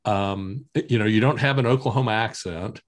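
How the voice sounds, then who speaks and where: A man's voice: lowish voice, normal speed. A man with a slight Texas accent hosting an interview, upbeat and affirming, asking questions with fillers. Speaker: podcast host. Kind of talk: casual interview chat.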